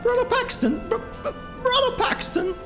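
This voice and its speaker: A man voicing a young gnome girl messenger with a shrill, thin voice, speaking urgently and haltingly in a fantasy audio drama. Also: lively intonation, music in the background. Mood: urgent, breathless. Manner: urgent, halting, repeating words.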